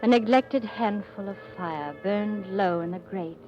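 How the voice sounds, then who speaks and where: A woman as earnest gentlewoman, muffled old radio. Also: mid-range voice, unhurried, natural voice. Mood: composed, reflective. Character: earnest, devoted young English gentlewoman narrating her life. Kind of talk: 1940s radio drama narration and acting. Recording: muffled vintage radio recording, music behind.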